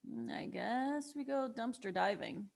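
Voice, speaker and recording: A woman plays a level-headed young peacemaker at a table read. Her voice sits fairly high, and she speaks in a mild, slightly hesitant, conversational way. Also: unhurried, gravelly, exaggerated voice. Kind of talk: table read acting of a screenplay.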